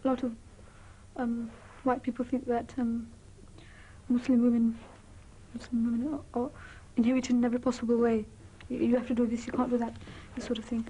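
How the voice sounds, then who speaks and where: A woman's voice: on the high side, level tone, fairly quick, mellow, slight background noise, rough recording. A teenage female student with a British accent giving her opinions in an informal interview. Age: teens. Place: small indoor classroom.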